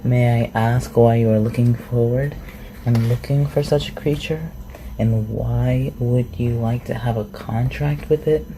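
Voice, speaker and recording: Fantasy ASMR roleplay: performer as reclusive shapeshifter, chatty, curious, socially awkward, mid-pitched, clearly noisy home recording. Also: fairly even intonation, measured pace, natural voice. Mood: guarded. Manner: inquisitive, hesitant, rambling questions. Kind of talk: roleplay ASMR audio acting.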